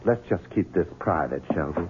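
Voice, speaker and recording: A sly, mocking villain with a lowish voice that swoops widely. The sound is thin and phone-like, with a faint hiss. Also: male voice, animated, normal speed. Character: scheming sinister grown son, cruel, smug. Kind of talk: 1970s radio drama acting. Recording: phone-like old radio broadcast, faint hiss.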